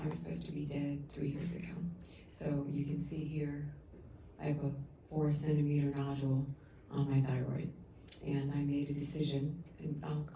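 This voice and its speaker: A middle-aged woman giving an earnest, halting public comment at a council meeting, heard through the room's sound system from afar. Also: deep voice, vocal fry, slight background noise. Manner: loud voice. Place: council chamber. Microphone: room sound system, picked up from afar. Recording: echoey council chamber from far off.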